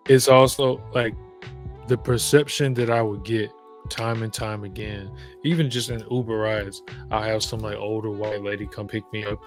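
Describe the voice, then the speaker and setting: A man's voice: lowish voice, steady tone, moderate pace, soft background music. A man from the American South with a Southern accent, a podcast guest talking in a reflective, emphatic way, telling stories with frequent restarts. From Southern United States. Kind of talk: casual conversation.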